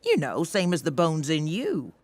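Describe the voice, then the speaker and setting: A woman's voice: deep voice, wildly swooping pitch, normal speed, very noisy. A woman playing a friendly, patient explainer in a children's cartoon, encouraging and teacherly. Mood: patient, amused.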